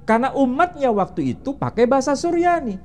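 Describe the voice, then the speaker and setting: A man's voice: very high voice, sing-song, a little fast, a little mellow. A man, an Islamic teacher, explaining religion in an interview and quizzing the interviewer with rhetorical questions.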